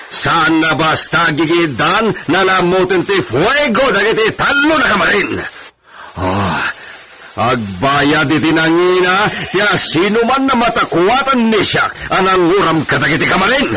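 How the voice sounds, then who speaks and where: Male actor as blustering landowner, Ilocano accent, high voice, very lively pitch, radio drama outrage. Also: a little slow, background noise. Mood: outraged. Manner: blustering, exclamatory.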